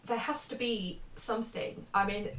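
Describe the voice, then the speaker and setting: A woman's voice: a little high, normal speed, a bit bright. A woman in a casual interview conversation, talking earnestly and emphatically and repeating her phrases. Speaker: interviewer, spiritual medium. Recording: roomy, distant mic, background noise.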